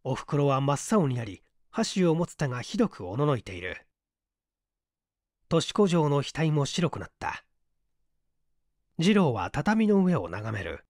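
A man's voice: a little high, big pitch swings, measured pace, pauses often, darkish tone, no echo, studio quality. A man reading literary fiction aloud as scripted audiobook narration. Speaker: audiobook narrator, stage actor.

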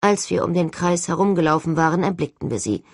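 A woman's voice: lowish voice, fairly quick, a little mellow, no room sound. A woman reading scripted first-person audiobook narration as the narrator, recorded close.

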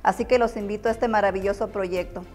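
A woman's voice: medium pitch, fairly even intonation, quick pace, a little mellow. Middle-aged woman, Mexican accent, level delivery, music under. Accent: Mexican Spanish. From Michoacán, Mexico. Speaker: company testimonial speaker. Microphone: lapel mic.

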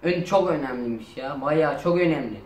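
A teenage young man, bantering casually and deadpan in an improvised comedy skit. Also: a little high, moderate pace, recorded from a distance. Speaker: performer in a family comedy skit. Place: home dining room. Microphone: camera a few metres away. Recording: roomy echo with faint noise.

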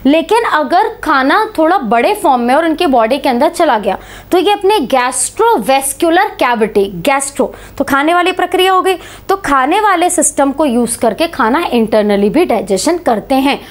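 A woman in her thirties teaching a biology class online, slightly hoarse from a sore throat, quizzing her students and coaxing them along. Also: high voice, unhurried. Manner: animated, asks students questions, calls them beta. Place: small indoor studio before a slide board.

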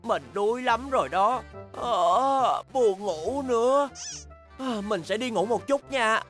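A woman voicing a grumbling, excitable, sleepless young bear in a children's cartoon dub, with a whiny, dramatic delivery. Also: a little high, slow. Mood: tired. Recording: background cartoon music.

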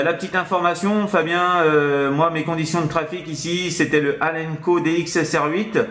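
A man's voice: on the high side, fairly bright tone. Roomy-sounding man chatting on amateur radio. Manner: hesitant, tags sentences with "hein". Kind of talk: casual radio contact chat. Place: indoors in an apartment, at a radio set.

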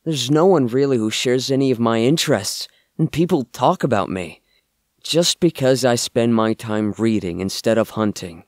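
A man playing a bookish young misfit and loyal son in an audio drama, earnest and expressive. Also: medium pitch, moderate pace, dry recording, clean studio sound, close to an everyday voice. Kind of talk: amateur audio drama acting.

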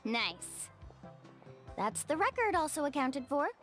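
A friendly young woman guide from a video game. Her voice sits high and swings with eager expression. Light music plays behind her. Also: sing-song, slow pace, a bit bright, exaggerated voice.

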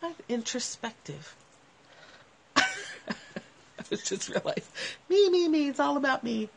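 A woman's voice: sits high, slow pace, gravelly, breathy edge. Nasal woman with a cold, musing. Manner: animated, gestures a lot while talking. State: has a cold. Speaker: livestream host. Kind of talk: casual reflective talk to viewers. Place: covered outdoor porch. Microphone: phone at arm's length.

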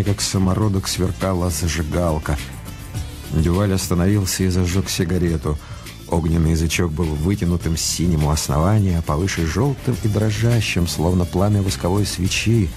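A man acting as the storyteller of a radio play, narrating in a measured, reflective and literary manner. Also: low voice. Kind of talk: scripted narration for a radio drama. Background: music.

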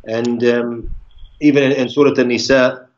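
A man's voice: mid-range voice, fairly even intonation, normal speed. An American man in his forties, an Islamic lecturer, talking through a video call and answering interview questions with lots of restarts and Arabic religious phrases. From United States (Virginia). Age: 40s. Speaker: guest speaker, Islamic lecturer. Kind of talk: live online interview. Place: indoor room, plain wall. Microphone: video call webcam. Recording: muffled lo-fi video call audio.

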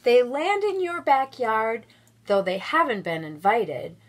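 A middle-aged woman reading a children's picture book aloud at home with expressive, drawn-out storytelling delivery. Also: on the high side, slight background noise. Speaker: volunteer guest story reader. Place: small room at home.

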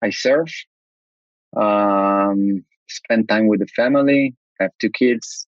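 Relaxed man on a dull, lo-fi video call. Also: on the low side, takes it slowly, dry recording. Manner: relaxed, many ums and fillers. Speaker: guest, company CEO. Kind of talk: casual video-call interview.

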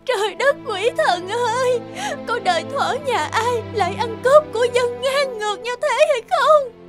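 A woman's voice: very high voice, moderate pace. An actress playing a poor, desperate, defiant peasant woman in a cartoon folk tale, wailing and indignant. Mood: anguished indignant. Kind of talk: animated folk tale dub acting. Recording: music under the voice.

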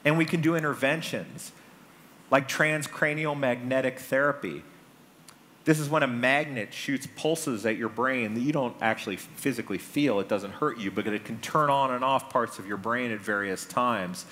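A man's voice: medium pitch, normal speed, fairly bright tone. A man in his forties with an American accent, giving a public talk as a conference speaker on a large indoor stage. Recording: stage mic with clear background noise.

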